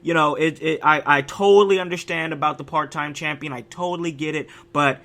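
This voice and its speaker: Raspy, bright-voiced male podcast host. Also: on the high side, brisk. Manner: animated, hype delivery with many restarts. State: raspy from a cold. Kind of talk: casual podcast chat.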